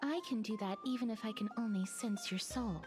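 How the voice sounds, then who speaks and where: A woman voicing a gentle, solemn young woman in a game, speaking softly. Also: mid-range voice, brisk, over music, close to an everyday voice. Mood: calm. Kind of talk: game voice acting.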